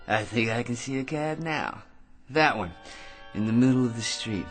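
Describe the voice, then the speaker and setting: An actor playing an excitable, self-important eccentric in a radio drama, rambling and stammering through grand schemes with theatrical delivery. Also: male voice, mid-range voice, moderate pace, soft background music, lightly acted. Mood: cheerfully bossy.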